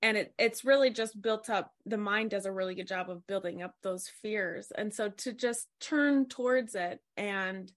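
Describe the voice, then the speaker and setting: A woman's voice: medium pitch, moderate pace, few pauses. A woman hosting an interview in a casual, enthusiastic way, laughing easily as she responds. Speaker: podcast host.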